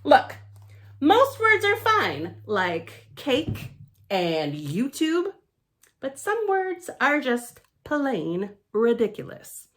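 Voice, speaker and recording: A woman reading a picture book aloud to children, theatrically emphatic and playfully grumpy, with chatty deadpan asides. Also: on the high side. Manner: theatrical, emphatic, with pauses and repeated words for effect. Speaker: library storytime reader.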